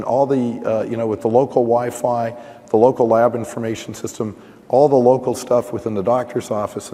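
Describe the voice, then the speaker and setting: A hoarse man giving a technical conference talk. He thinks aloud, restarting sentences and often saying "you know". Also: mid-range voice, warm tone. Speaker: conference panelist. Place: conference session room. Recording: reverberant conference hall.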